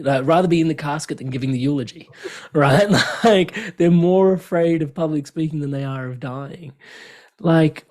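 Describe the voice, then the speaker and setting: A man's voice: fairly high pitch, darkish tone. A man hosting an interview podcast, asking questions in a casual, easy-going way, laughing often and dropping "like" and "bro" as he talks. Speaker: podcast host.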